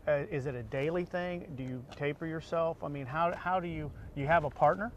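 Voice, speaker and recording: A man's voice: on the high side, a little fast, a little hiss or hum. An American man hosting a casual interview in an informal, chatty way. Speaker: interview show host.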